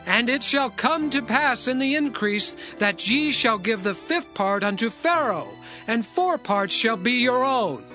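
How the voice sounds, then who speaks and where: A man's voice: very high-pitched, lively intonation, measured pace, hardly any pauses, pushed and bright, soft background music, pitched above the performer's own voice, full character voice. A man voicing an authoritative governor who makes declamatory pronouncements in a dramatized scripture reading. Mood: commanding. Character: authoritative male governor, commanding and generous.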